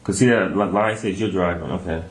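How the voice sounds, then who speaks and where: A man's voice: sits low, fairly quick, background noise. An American man questioning someone as a police interrogator, in casual, familiar, colloquial speech. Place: indoor interview room. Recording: echoey interview room recording.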